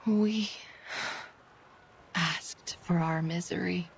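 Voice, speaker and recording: A woman voicing an earnest, troubled researcher in a fantasy game, speaking in a measured, formal, solemn way. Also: fairly low pitch, fairly even intonation, gravelly, a little hiss or hum, full character voice. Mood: bitter, resigned. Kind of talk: dramatic game dialogue. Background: game music.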